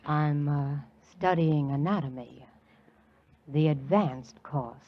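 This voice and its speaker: A brassy flirting coed. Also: female voice, deep voice, slow, a little hiss or hum. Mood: sultry. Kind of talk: 1940s radio comedy acting. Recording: tinny 1940s radio recording.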